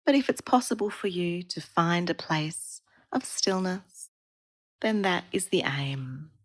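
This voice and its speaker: A woman guiding a relaxation practice as a yoga therapist, speaking in a calm, soothing, unhurried manner with pauses. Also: lowish voice, no echo. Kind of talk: guided meditation narration.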